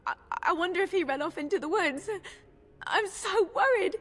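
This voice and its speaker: Timid village girl, very high pitch, pleading, stammering, worried, game dialogue, big reverberant space. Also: animated, normal speed, a bit bright, soft background music. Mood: distraught, worried. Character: frightened young village girl, tearful and worried. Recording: long reverberant hall echo.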